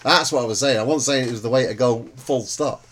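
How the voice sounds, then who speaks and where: A middle-aged man with a loud, booming voice, hosting a casual family podcast and steering the talk along. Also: brisk. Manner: laid-back, repeats "right" to steer the talk.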